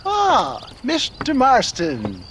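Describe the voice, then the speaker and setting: A wily old frontier huckster for a video game. His voice sits high and swings widely, with florid, hammy phrasing. Faint noise runs behind him. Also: huge pitch swings, extremely slow, fairly bright tone, exaggerated voice. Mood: evasive, jovial. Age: older. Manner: florid, hammy, hesitant with frequent chuckles. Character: flamboyant, wily old huckster salesman in the Old West. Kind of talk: theatrical video game character acting.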